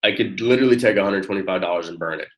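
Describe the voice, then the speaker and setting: A man speaking informally and encouragingly as a coach on a one-on-one call, often halting as he finds his words. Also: deep voice, a bit bright. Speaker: network marketing coach.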